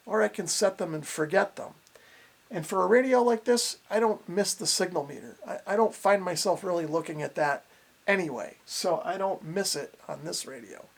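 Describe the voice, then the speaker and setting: A man's voice: on the high side, creaky. A man giving a casual, plain-spoken product review and demonstration, explaining things as he goes. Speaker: radio reviewer and host.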